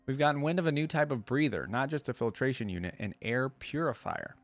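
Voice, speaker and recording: A man's voice: mid-range voice, lively intonation, a little fast, dry recording, faint music behind, voice pushed up, close to an everyday voice. A man reading a storybook part as a dutiful female henchwoman, curt and matter-of-fact.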